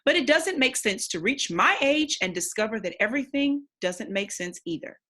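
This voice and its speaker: A woman voicing a wise, wary elderly man in a storybook, speaking in a measured, grave, musing way. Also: a little high, animated, bright, forceful tone.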